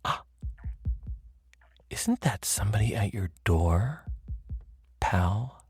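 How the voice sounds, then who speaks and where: An actor playing a sardonic dead ex-convict in a radio mystery, talking wryly and slangily to the listener as a pal. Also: male voice, on the low side, sing-song, slow, husky, dry recording, faint music behind, full character voice. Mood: coolly menacing. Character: sardonic dead ex-convict telling his own murder story. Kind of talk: old-time radio noir monologue.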